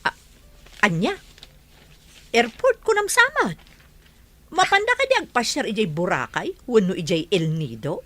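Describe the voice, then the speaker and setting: A woman's voice: fairly high pitch, very animated, unhurried, pauses often, fairly bright tone, exaggerated voice. A woman with an Ilocano accent playing a boastful, nosy middle-aged village mother in a radio comedy drama. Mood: nosy surprise.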